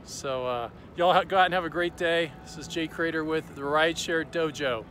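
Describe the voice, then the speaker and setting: A man's voice: a little high, moderate pace. A man in his fifties chatting casually and cheerfully to his phone camera in a large open city square, laughing often. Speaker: vlog host. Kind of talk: casual travel vlog to camera. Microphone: phone at arm's length, selfie framing. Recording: outdoor city noise behind.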